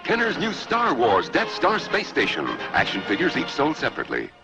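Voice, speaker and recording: Very animated male toy-ad announcer. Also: fairly high pitch, fairly quick. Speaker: commercial announcer. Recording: dull old TV ad, loud music. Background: loud music.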